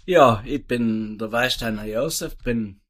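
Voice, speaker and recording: A man in his seventies with a South Tyrolean German accent, a retired farmer who answers interview questions in a rambling, halting way. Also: medium pitch, a bit bright. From South Tyrol, Italy. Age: 70s. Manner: rambling, halting, with many restarts and fillers.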